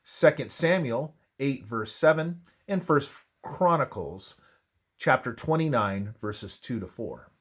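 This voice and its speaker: Male narrator, mid-pitched, for scripted religious history. Unhurried, deliberate read with widely varied intonation. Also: animated, breathy edge.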